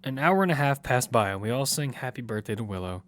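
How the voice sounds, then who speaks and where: A man's voice: mid-range voice, expressive, fast talker, close to an everyday voice. A man playing a protective single father in an audio drama, both narrating and speaking in scenes. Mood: reflective narration. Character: Damian, protective single father of a six-year-old girl. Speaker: narrator and lead actor. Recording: loud music under the voice. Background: music.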